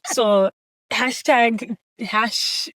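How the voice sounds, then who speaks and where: A woman in her thirties from Zimbabwe, a guest reflecting on her upbringing with a relaxed, thoughtful manner. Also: a little high, drawn-out words, rough recording. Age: 30s. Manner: reflective, many fillers and soft laughs. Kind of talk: casual chat.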